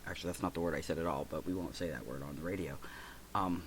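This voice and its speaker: Man, forties, very low voice, stuffy nose, congested, halting speech, lots of fillers, podcast host. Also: fairly bright tone, a little hiss or hum. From United States. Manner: halting, with many fillers and restarts. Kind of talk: personal reflective talk.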